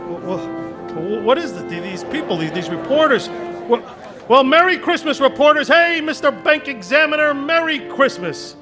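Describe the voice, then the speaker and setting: An actor playing an excited, stammering family man in a live radio play before an audience. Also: extremely high pitch, moderate pace, music in the background. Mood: joyful. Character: overjoyed family man, exuberant father.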